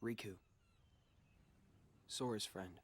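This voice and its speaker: A man playing a guarded, brooding but quietly caring teenage boy in a video game cutscene, terse and measured. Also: lowish voice, husky. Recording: game music behind the voice.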